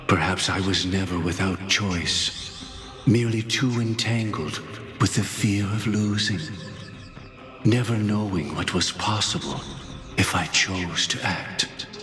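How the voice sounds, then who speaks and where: A man's voice: low-pitched, takes it slowly, fairly bright tone, soft background music. An actor voicing a grave, commanding male elder in a video game, solemn and philosophical, with echoing reverb. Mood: solemn, resigned. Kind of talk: dramatic video game voice acting. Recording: echoey hall-like reverb.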